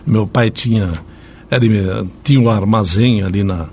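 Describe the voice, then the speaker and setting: A man's voice: on the low side, unhurried, slight background noise. An older man over sixty with a São Paulo Brazilian accent, telling stories conversationally as an interview guest and often checking for agreement. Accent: São Paulo Brazilian Portuguese. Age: 60s or older. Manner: animated, repeatedly seeks agreement with tag questions. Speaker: psychiatrist, radio interview guest. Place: radio interview.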